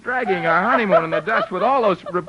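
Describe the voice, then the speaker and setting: An actor playing a flustered young husband in a 1940s radio drama, excitable and sputtering. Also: male voice, high-pitched, quick pace. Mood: indignant. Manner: excitable, sputtering, then sheepish. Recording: dull old radio broadcast with hiss.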